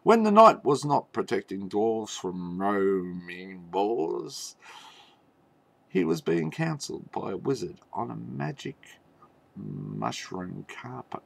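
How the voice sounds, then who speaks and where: A man telling a mock fairy tale in a theatrical, tongue-in-cheek way, with halting pauses and knowing asides. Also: fairly low pitch, sing-song, vocal fry, dry recording. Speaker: storyteller.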